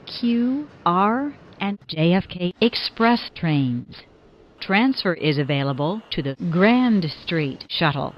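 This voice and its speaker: A woman's voice: fairly low pitch, muffled recording, slight background noise. A woman reading scripted transit announcements as a train announcer, in formulaic, carefully enunciated phrases that sound spliced together.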